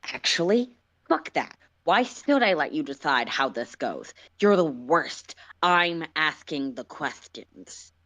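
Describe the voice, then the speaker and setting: A woman voicing a bitter, wounded male alien who interrogates with sharp, accusing demands in a story reading. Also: low voice, sing-song, slow pace, dry recording, full character voice. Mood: angry, demanding.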